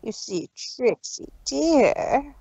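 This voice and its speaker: A woman's voice: sits high, sing-song, very slow, gravelly, mellow, husky, no echo, lo-fi sound, heavily acted. A woman voicing a cruel, arrogant big-sister mare villain in a dramatic story reading, taunting and condescending. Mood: smug. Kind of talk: dramatic fan fiction reading.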